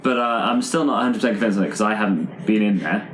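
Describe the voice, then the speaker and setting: Low-voiced man in an echoey room. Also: fast talker, a bit bright, slight background noise. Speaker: tabletop game player. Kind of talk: casual improvised game chat. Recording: roomy, echoing sound.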